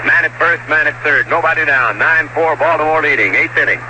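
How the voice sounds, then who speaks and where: A man calling baseball play-by-play on vintage radio in short, measured phrases. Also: fairly high pitch. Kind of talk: live baseball radio commentary. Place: stadium broadcast booth. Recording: very noisy vintage 1979 AM-style radio broadcast.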